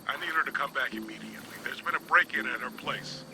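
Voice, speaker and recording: A man playing an older, stubborn, protective father in a video game drama, talking in a gruff, folksy, grumbling way. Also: mid-range voice, quick pace, music in the background, natural voice, rough recording. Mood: anxious. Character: stubborn, protective old father who cannot walk.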